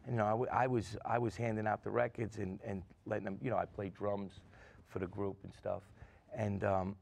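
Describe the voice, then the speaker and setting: A man's voice: lowish voice, little pitch movement, a little fast, creaky, darkish tone. A middle-aged man, a guest musician rambling through stories in casual chat with many restarts.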